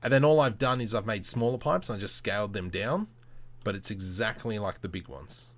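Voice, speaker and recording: A man with a fairly low voice hosts a relaxed, informal livestream. He thinks aloud in a tutorial style, muttering playfully to himself and addressing viewers as he goes. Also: a little fast. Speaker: livestream host demonstrating 3D modelling.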